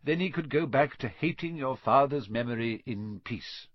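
A man's voice: mid-range voice, expressive, no echo, highs cut off, lightly acted. A man voicing a genial, elderly wizard headmaster in an audiobook, whimsical and dreamily self-amused. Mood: gentle, musing. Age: older. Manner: dreamy, unhurried musing. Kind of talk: audiobook character voice.

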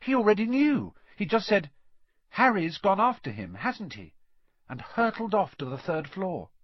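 A man voicing an anxious, earnest and excitable schoolgirl witch in an audiobook, speaking emphatically. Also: high voice, measured pace, no echo, dull audio, pitched above the performer's own voice, full character voice. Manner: breathlessly emphatic, indignant. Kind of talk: audiobook character voice.

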